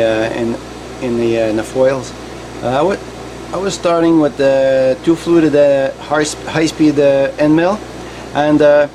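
A middle-aged man casually explaining shop work as a hobby machinist, in a halting, hands-on way. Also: mid-range voice. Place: cluttered garage machine shop. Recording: noisy workshop ambience.

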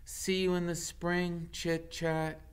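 A man plays a young storybook gosling boy. The pitch is lifted high, and the delivery is tender, simple and sincere. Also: flat delivery, slow, clear, resonant tone. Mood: fond. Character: earnest, tender young male goose.

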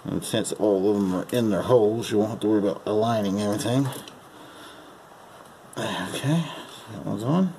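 A man with a low, gravelly voice gives casual, folksy instructions as a home mechanic. He speaks unscripted while working with his hands. There is faint noise behind him, as on an amateur video. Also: normal speed, mellow. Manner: casual, folksy instruction, calling parts "her". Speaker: amateur mechanic giving a repair demonstration. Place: at a car's open engine bay.

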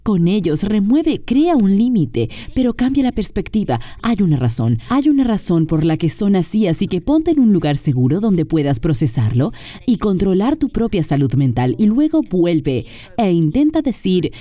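A woman's voice: medium pitch, big pitch swings, normal speed, warm tone, no echo. A woman speaking as an interview guest, earnestly and emphatically explaining her points in a dubbed conversation.

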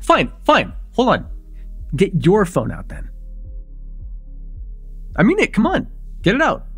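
A young man playing an excitable vampire in an immersive roleplay, casual and halting, insistent and teasing as he repeats himself. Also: high voice, husky, soft background music, full character voice. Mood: eager, flustered. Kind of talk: immersive ASMR roleplay acting.

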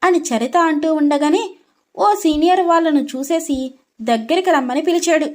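A woman storyteller with a high voice reads a scripted drama. She carries the tale as narrator between the lines of dialogue. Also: normal speed, darkish tone.

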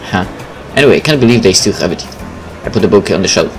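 A man's voice: sits low, animated, quick pace, low-quality recording. A man, a native Polish speaker, hosting a game let's play, reading aloud and commenting casually. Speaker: gaming video host. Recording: faint game music behind.

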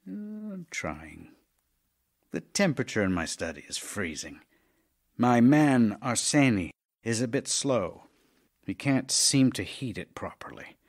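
A man's voice: mid-range voice, very animated, unhurried. An actor playing an ailing middle-aged playwright husband in an audio drama, tender, intimate and wistful as he voices love letters. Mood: weary, wry.

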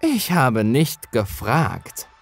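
A man voicing a sinister old man in a horror story, coaxing and menacing, with slow, drawn-out words. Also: medium pitch, huge pitch swings, creaky, breathy edge, soft background music, voice pushed up, exaggerated voice. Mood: cold, threatening. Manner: slow, drawn-out, wheedling delivery. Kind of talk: horror audio story character voice.

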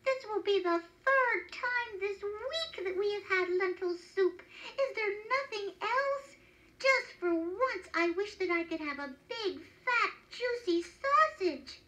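A woman voices a woodcutter husband in a children's tale. He is plain-spoken and quick to grumble, leaning hard on his words. The delivery is slow, in a very high register. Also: sing-song. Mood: grumbling. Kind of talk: children's storybook character reading.

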